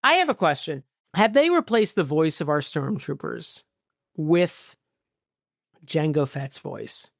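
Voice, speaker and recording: This is a podcast co-host talking casually about movies. The delivery is animated and jokey, full of exclamations and asides. The pitch sits in the middle, and the sound is dry with very little room. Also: slow pace, vocal fry, no room sound. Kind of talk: casual movie discussion chat.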